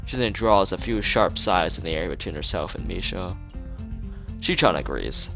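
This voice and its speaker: Low, gravelly man reading a game aloud. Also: lively intonation, moderate pace, a bit bright, faint music behind. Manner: stumbles over the text and rereads words. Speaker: let's player reading a visual novel aloud. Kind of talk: informal read-aloud narration with off-the-cuff asides.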